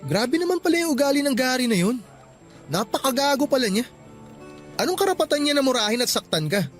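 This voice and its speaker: An actor with a Filipino accent playing a caring, protective young office worker in a radio drama, speaking earnestly and emphatically. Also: male voice, extremely high pitch, big pitch swings, brisk, faint music behind. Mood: indignant, protective.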